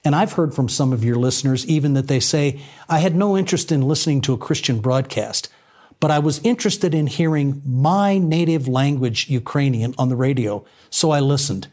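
A man speaks in a broadcast interview, measured and earnest. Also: mid-range voice, expressive, a little mellow.

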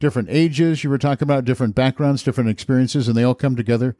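A man's voice: medium pitch, steady tone, racing, dark tone, no echo, studio quality. An older man hosting a podcast, speaking in a conversational interview style. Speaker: podcast host.

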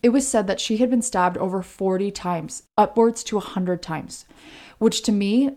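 A woman's voice: medium pitch, moderate pace, dark tone. A woman co-hosting a podcast, narrating true crime in an informal way with chatty asides. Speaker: podcast co-host.

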